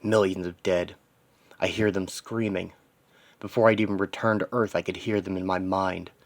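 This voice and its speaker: Man performing a grim, low-voiced vengeful superhero. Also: fairly even intonation, normal speed. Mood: grieving, vengeful. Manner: terse, dramatic inner monologue in short fragments. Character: grieving, vengeful male superhero, grimly determined and anguished.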